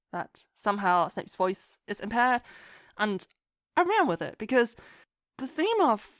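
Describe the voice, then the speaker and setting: A woman's voice: fairly high pitch, dry recording, studio quality. A woman chatting casually as an interview guest, rambling enthusiastically and acting out her own thoughts as she goes. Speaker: podcast guest, fan fiction writer.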